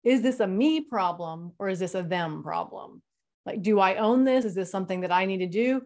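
Woman moderator, mid pitch, blunt and no-nonsense, conversational. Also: moderate pace, darkish tone. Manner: blunt, frank. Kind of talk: casual panel discussion.

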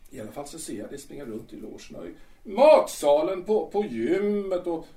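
A man's voice: on the high side, very animated, mellow, roomy sound, distant mic. A man acting a vain, brash male musical star in an audio drama, who stammers and keeps asking "va?". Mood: gossipy. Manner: boastful, stammering, ends lines with "va?". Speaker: musical star Peter in his dressing room.

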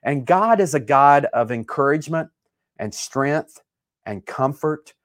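A male host greeting viewers on a live show, reading out names and places warmly with many fillers. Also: fairly high pitch, expressive, a little slow, no room sound, studio quality. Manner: upbeat, shouting out names with fillers.

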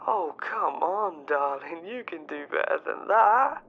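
A man playing an affectionate, teasing lover in an audio drama, with a gravelly voice, heard through a dull, noisy recording. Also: a little high, expressive, slow, heavily acted. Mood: teasing, tender.

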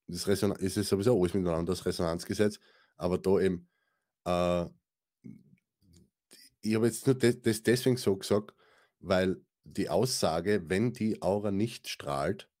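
Very deep-voiced man chatting. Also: moderate pace, darkish tone. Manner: rambling, colloquial, many restarts and fillers. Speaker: podcast co-host. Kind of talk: casual spiritual chat.

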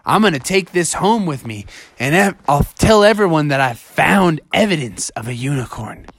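A storyteller voicing an excitable male adventurer, exclaiming with dramatic wonder. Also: medium pitch, normal speed, husky. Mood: amazed, curious.

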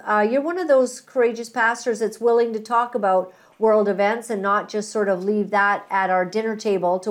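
A woman hosting a talk show, speaking conversationally and deliberately in short phrases with pauses between them. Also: medium pitch. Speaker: talk show host and interviewer. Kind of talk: conversational commentary with Bible reading, introducing a guest.

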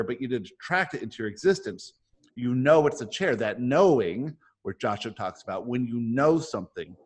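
A man hosting a discussion in a casual, explanatory way, as if thinking his points through aloud. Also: mid-range voice. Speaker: host of a book club discussion. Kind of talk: casual spiritual self-help discussion with some reading aloud.

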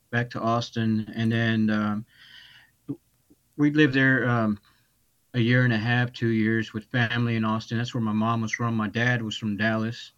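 A man from Texas telling his life story as a podcast guest over a video call, speaking haltingly with many restarts. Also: medium pitch, lo-fi sound. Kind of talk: personal testimony.

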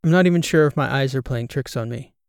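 A man voicing an excitable, incredulous male podcast co-host in an audiobook, animated and rambling. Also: mid-range voice, quick pace, gravelly, clear, resonant tone, no echo, close to an everyday voice. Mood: stunned. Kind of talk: audiobook character dialogue.

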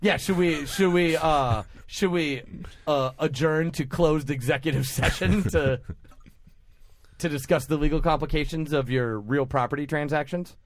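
A man chatting casually among friends, rambling with wry jokes and quick asides. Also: a little high, a little hiss or hum. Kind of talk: casual chat.

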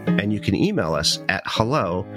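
A man with a low voice and a lively, bouncing delivery. He reads the scripted podcast intro in an upbeat tone, and guitar music plays clearly behind him. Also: normal speed. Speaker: podcast co-host.

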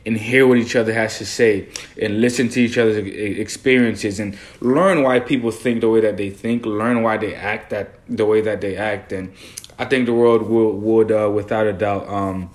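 A man's voice: fairly low pitch, steady tone, normal speed. A young man from Haiti co-hosting a discussion, speaking earnestly and measuredly with frequent fillers. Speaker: co-host discussing a designer interview. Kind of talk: casual conversational discussion.

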